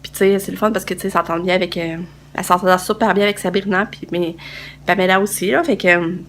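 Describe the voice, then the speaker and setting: A woman's voice: on the low side, fairly even intonation, fast, slight background noise. A young woman with a Québécois French accent, vlogging casually to a handheld phone. She is tired and stuffed up with a cold, and she rambles with many fillers and restarts. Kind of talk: casual vlog chat to camera. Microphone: handheld phone camera.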